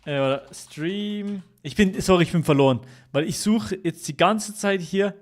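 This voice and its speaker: Chatty young streamer, slightly high voice. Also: male voice, measured pace. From Switzerland. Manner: chatty, jokey, repeats himself, swears at chat. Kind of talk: casual livestream chat with viewers.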